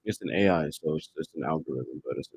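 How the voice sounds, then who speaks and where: A man's voice: a bass voice, fast talker, creaky, darkish tone, slight background noise. A man talking casually as a guest on a music podcast, recorded in a studio. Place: indoor studio.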